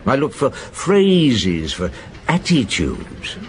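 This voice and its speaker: An actor playing a weary, doubting middle-aged chief inspector in a radio drama, probing, hesitant and often muttering to himself. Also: male voice, medium pitch, huge pitch swings, takes it slowly, slight background noise. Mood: uneasy, reflective. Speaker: detective and narrator. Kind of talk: radio drama dialogue and narration.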